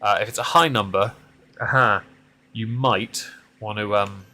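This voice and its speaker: A man's voice: fairly even intonation, unhurried, bright, forceful tone. A man in his mid-thirties chatting casually with viewers on a driving game stream, rambling and self-deprecating. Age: 30s. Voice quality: deep voice. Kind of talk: casual live-stream chat while driving.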